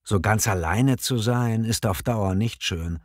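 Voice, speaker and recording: A man playing a hesitant, choosy single man in a scripted language-learning dialogue, speaking reflectively and clearly. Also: fairly low pitch, a little slow, no room sound, studio quality, lightly acted.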